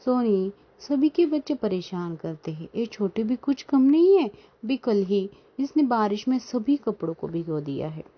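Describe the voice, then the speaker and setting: A woman voicing a gentle, earnest mother bird in a children's cartoon. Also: medium pitch, big pitch swings, unhurried, few pauses. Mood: doting. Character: gentle, doting mother bird, easily dismayed. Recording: dull lo-fi sound.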